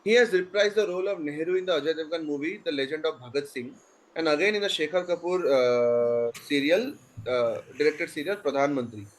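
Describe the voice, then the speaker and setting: A man's voice: fairly high pitch, poor audio. A man, reading quiz questions over a video call and chatting with the players between them. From India. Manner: reads questions briskly, then chats loosely with players. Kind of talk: online quiz league, reading clues and chatting.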